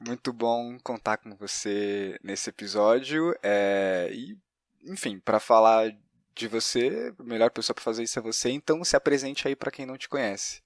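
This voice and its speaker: A man's voice: medium pitch, moderate pace, no room sound, studio quality. A man with a Brazilian accent hosting a podcast and interviewing a guest in a conversational way.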